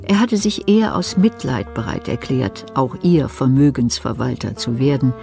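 A woman playing the detached, composed narrator of a German radio crime play, in measured scripted narration. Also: extremely low pitch, expressive, vocal fry, dark tone, faint music behind, exaggerated voice.

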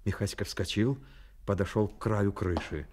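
A man's voice: lowish voice, normal speed, darkish tone. A man reading scripted narration as the storyteller of a radio drama. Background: music.

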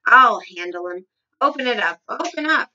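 A woman voicing an excitable old duke from a storybook, pompous and blustering, exclaiming loudly. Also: on the high side, normal speed, muffled recording. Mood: furious, alarmed. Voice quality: loud, exclaiming.

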